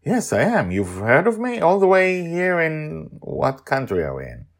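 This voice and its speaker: A man's voice: a little high, wildly swooping pitch, measured pace, voice pushed up, heavily acted. A man voicing a friendly, modest woman singer in a told story, pleased and lightly exclaiming. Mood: surprised, pleased.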